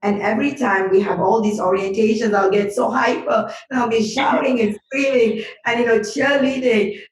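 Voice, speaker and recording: A woman's voice: a little high, fairly even intonation, normal speed. A woman guest on a podcast, sharing a personal reflection in an earnest, emphatic way. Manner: earnest, emphatic, speaks of energy and healing. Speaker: podcast guest, business school owner and healer. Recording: roomy sound from a distant mic.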